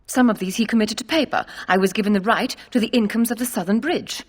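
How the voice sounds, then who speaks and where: A proud noblewoman, played by an actress in a fantasy game. She has a medium-pitched, husky voice and speaks formally, with assertive self-assurance. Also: quick pace, lightly acted. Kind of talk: video game voice acting.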